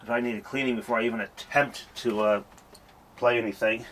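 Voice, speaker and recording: Unhurried man thinking aloud. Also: medium pitch, fairly even intonation, a bit bright, slight background noise. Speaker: hobbyist showing a tape recorder. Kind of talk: casual walkthrough vlog.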